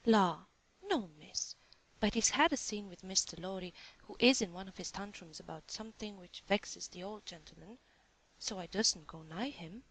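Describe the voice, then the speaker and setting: A woman's voice: mid-range voice, normal speed, fairly bright tone, lightly acted, low-quality recording. A woman voicing a flustered young housemaid who chatters in homely country speech. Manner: chattering, homely dialect words.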